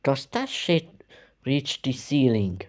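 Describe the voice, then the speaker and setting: A man giving informal, halting reaction commentary, with casual swearing. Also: medium pitch, big pitch swings, a little hiss or hum.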